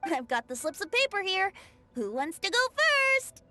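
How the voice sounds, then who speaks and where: A woman's voice: very high voice, very animated, normal speed, over loud music. A woman voicing a cheerful young woman in an anime game, friendly and organized as she directs a group.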